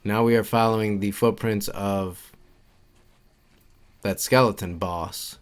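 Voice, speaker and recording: A man's voice: fairly low pitch, steady tone, takes it slowly, clear, resonant tone. A man giving casual, instructional walkthrough commentary for a video game, informal and repeating his key points. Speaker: game guide creator and narrator.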